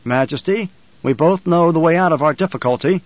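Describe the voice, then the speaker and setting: A man's voice: on the high side, brisk, no room sound, lo-fi sound, lightly acted. A man voicing a cold, defiant young nobleman in an audiobook, speaking in a controlled, declamatory way. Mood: cool defiance.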